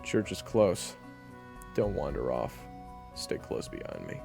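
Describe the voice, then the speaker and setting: A man playing a gruff, jaded vampire hunter in an audio roleplay, blunt and sternly protective as he gives terse orders. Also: a bass voice, huge pitch swings, slow pace, music in the background, full character voice. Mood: curt, commanding. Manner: blunt, terse, commanding, cursing. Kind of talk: audio roleplay acting addressed to the listener.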